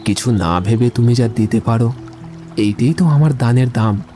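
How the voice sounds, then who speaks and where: A man's voice: medium pitch, moderate pace, warm tone, soft background music, lightly acted. A man playing an eloquent young man in love in a literary audio drama, speaking in lyrical, wordy speeches. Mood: tender, teasing.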